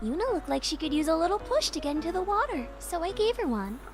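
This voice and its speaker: Super high young anime girl voice, comedy game, lively swings, music under it. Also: fast. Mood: flippant. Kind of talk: visual novel voice acting.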